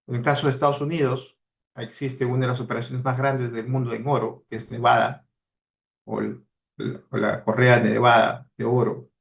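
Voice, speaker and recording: A man's voice: mid-range voice, flat delivery, normal speed, vocal fry. Peruvian man giving an earnest career talk. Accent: Peruvian Spanish. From Peru. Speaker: guest speaker, mining professional. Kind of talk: motivational career talk to students.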